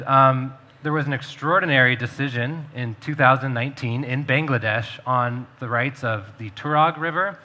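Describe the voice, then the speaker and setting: Bright-voiced male panel moderator. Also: mid-range voice. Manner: fluent and articulate, with fillers. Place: conference stage.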